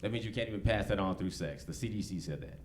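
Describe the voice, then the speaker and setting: A man's voice: deep voice, lively intonation, fast, a little mellow. A forty-year-old American man doing conversational, slangy, profane stand-up comedy in a laid-back way, live in a club. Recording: live club recording with background noise.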